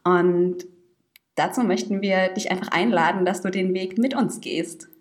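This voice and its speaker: A woman's voice: on the low side, fairly even intonation, unhurried. A woman of twenty-eight co-hosting a podcast in a casual style, speaking in an articulate, reflective way. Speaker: podcast co-host. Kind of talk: casual chat.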